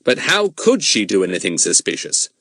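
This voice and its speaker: A man voicing a clever schoolboy detective who gives brisk, firm orders. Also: fairly low pitch, wildly swooping pitch, vocal fry, a bit bright, no room sound, full character voice. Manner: brisk, commanding.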